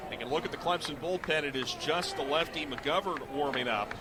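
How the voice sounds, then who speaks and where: A middle-aged man calling baseball live on the radio as play-by-play announcer, in clipped descriptive phrases that rise into excited calls. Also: fairly high pitch, fairly quick, a loud crowd, heavy background noise. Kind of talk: live radio sports commentary.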